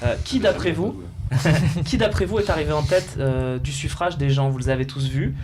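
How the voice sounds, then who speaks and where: Male host, fairly high voice, lively, faint studio room hum. brief. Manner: lively, prompting guests, quick interjections. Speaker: talk show host. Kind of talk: hosting a football talk show.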